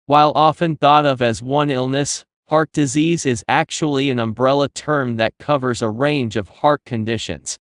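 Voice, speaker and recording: A man reading exam practice passages aloud in a measured, formal way. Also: medium pitch, steady tone, dry recording, clean studio sound. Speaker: test-prep model reader.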